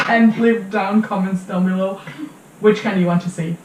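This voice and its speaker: A woman's voice: mid-range voice, steady tone, normal speed, darkish tone, a little hiss or hum. Teenage girl from Germany, echoey room. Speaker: channel host. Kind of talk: casual vlog chat among friends. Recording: echoey room with music underneath.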